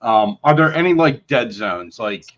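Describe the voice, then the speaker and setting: A man's voice: medium pitch, lively intonation, unhurried, a bit bright, dull audio. A man playing a tabletop game, asking and commenting in casual chat.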